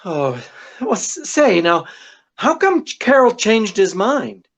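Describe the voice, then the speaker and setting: A woman's voice: mid-range voice, sing-song, takes it slowly, exaggerated voice. A woman acting a wisecracking, devoted husband and sleuth in 1940s radio style, with flustered banter. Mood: tender, teasing.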